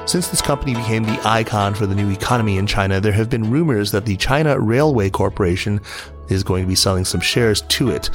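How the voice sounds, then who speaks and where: Low-pitched man hosting business news. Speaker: podcast host. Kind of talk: scripted business news roundup.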